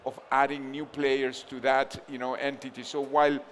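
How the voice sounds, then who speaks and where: A man's voice: medium pitch, level tone, moderate pace. Man from argentina, conference panelist, restarts a lot, faint room noise. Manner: thoughtful, frequent restarts and fillers.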